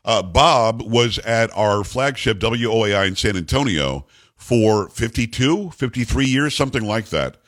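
Booming deep-voiced male radio host. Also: animated, a little slow, no room sound. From New York. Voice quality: deep bass voice. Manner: loud, upbeat, calls to the studio crew. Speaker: radio talk show host. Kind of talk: energetic talk radio monologue.

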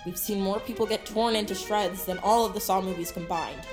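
An actress playing a level-headed young leader in an audio drama, earnest and dryly sardonic. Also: female voice, medium pitch, fairly even intonation, fairly quick, over music, close to an everyday voice. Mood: rallying. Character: level-headed young woman leading her survivor friends.

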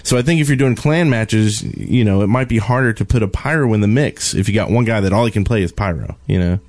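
A man's voice: lowish voice, fast talker, darkish tone, no echo. A man chatting casually as a co-host on a gaming podcast. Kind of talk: casual chat.

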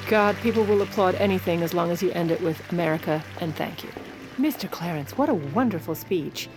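Actress as a wry, low-voiced First Lady. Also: fairly quick, music in the background, lightly acted. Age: 40s. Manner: wry, sardonic. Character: sharp-tongued, scheming middle-aged First Lady. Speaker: lead actor. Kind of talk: audio drama acting with wry asides.

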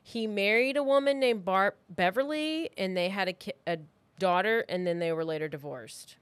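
A woman's voice: medium pitch, moderate pace, smooth, no echo. A woman co-hosting a true crime podcast, telling the story informally from her notes in a conversational way.

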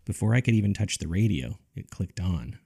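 A man narrating a scripted spooky first-person story in a measured, dry, conversational way, as if reading from a journal. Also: deep voice, animated, creaky, heavy background noise. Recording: music under the voice. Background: background music.

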